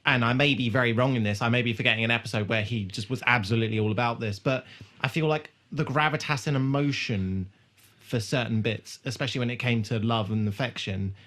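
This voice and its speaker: Mid-pitched man in discursive casual chat. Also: fast, fairly bright tone. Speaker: podcast co-host. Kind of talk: casual chat recapping a TV episode.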